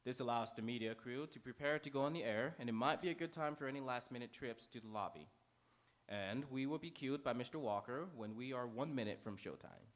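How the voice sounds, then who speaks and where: Male pre-show host, medium pitch, informal announcements to a live auditorium, unpolished and conversational delivery. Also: a little fast. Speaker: host warming up a live theater audience. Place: on stage in an auditorium before a live audience.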